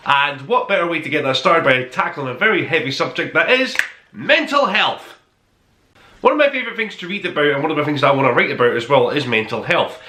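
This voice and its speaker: A man hosts a review podcast in a roomy, echoing space. His voice is bright and forceful, with lively swings in pitch. He talks straight to listeners in a conversational, enthusiastic way, leaning on his key words. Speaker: podcast host and book reviewer. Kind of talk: conversational top-five review monologue to listeners.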